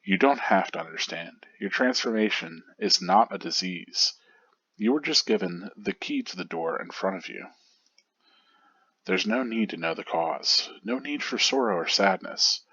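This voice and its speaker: A man voicing a calm, cryptic older male mentor who speaks slowly and quietly. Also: low-pitched, creaky, slightly rough, dry recording, dull audio, full character voice. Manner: slow, measured, quiet.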